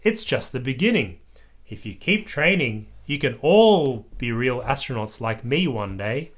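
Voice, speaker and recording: A parent voicing a hearty grandfather rabbit astronaut from a children's storybook, booming and encouraging. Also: animated, measured pace, lightly acted. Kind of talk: children's storybook read-aloud.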